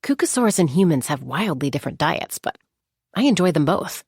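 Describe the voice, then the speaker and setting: A woman voicing a confident, composed young female gunslinger and peacemaker in a video game, with a husky voice and a measured, wry delivery. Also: deep voice, sing-song, darkish tone, dry recording, clean studio sound, exaggerated voice. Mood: calm, wry. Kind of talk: scripted game character voice lines.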